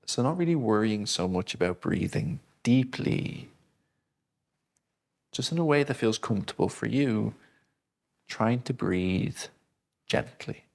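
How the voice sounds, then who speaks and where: A man gently guiding a breathing exercise, speaking slowly and soothingly. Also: mid-range voice, animated, vocal fry. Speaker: breathing instructor. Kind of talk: guided breathing lesson.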